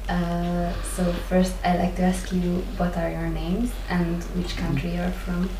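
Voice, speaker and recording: Flat, echoey woman hosting. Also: on the low side, a little slow. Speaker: podcast host. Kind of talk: interview questions. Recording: echoey, distant from mic, faint noise.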